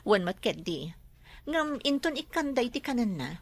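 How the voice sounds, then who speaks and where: A woman's voice: on the high side, sing-song, normal speed. A woman playing a self-satisfied, scheming lady in a radio drama. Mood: breezy, scheming. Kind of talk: Ilocano radio drama acting.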